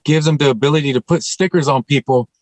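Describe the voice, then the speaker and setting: A man's voice: a little high, quick pace, no echo. A man chatting casually as an actor on a video call panel, conversational and repeating phrases for emphasis. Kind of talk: casual chat.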